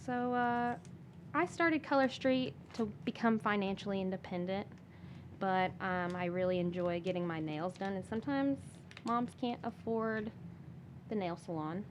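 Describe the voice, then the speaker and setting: A woman, a guest on a podcast, talking casually and softly with many fillers and restarts. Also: mid-range voice, measured pace, slight background noise. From Michigan. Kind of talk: casual interview talk.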